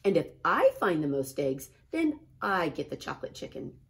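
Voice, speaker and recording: A woman's voice: low-pitched, sing-song, normal speed, vocal fry, full character voice. Woman voicing a bossy, emphatic big-sister bunny. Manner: emphatic, scolding.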